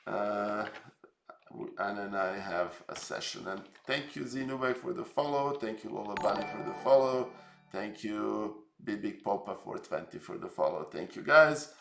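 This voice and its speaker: Serbian-born male streamer, gravelly low tone, hesitant and jokey, roomy echo. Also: normal speed, fairly bright tone, soft background music. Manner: rambling, hesitant, filler-heavy, jokey. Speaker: streamer host. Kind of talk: casual live stream chat.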